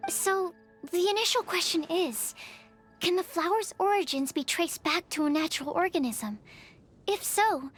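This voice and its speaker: A woman's voice: sits high, natural voice. A woman playing a shy, studious young female alchemist in a video game, speaking in a hesitant, careful, analytical way. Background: music.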